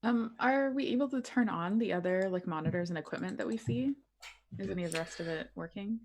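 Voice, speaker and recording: Woman player in a tabletop rpg, medium pitch, casual chat and roleplay. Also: fairly quick, a little mellow.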